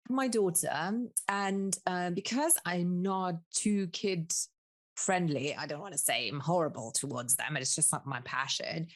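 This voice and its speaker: A woman's voice: fairly low pitch, unhurried. Candid Luxembourg woman, slight British accent. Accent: British-tinged English. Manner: candid, laughs easily, hedges with fillers. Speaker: guest, business coach. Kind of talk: casual interview chat.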